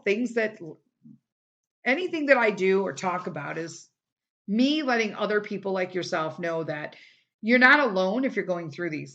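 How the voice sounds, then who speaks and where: A woman's voice: medium pitch, a bit bright, muffled recording. A middle-aged woman hosting her own podcast in a casual solo chat, rambling and candid, her voice tired and wearing out after a long day of recording. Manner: rambling and candid, lots of "like" and "you know". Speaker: podcast host.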